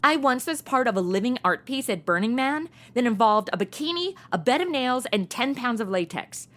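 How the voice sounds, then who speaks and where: An irreverent young woman in a comedy radio play. Her voice sits high and swings animatedly as she chats away. She is sassy and flippant, with casual modern phrasing. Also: lively intonation, moderate pace, a bit bright. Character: flippant, chatty young woman posing as Robin. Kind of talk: comedy audio drama acting.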